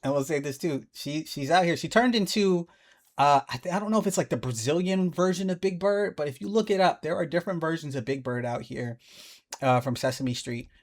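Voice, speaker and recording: Chatty man reacting to a rap video. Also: brisk. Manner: animated, jumps into high playful voices when excited. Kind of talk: casual music reaction commentary.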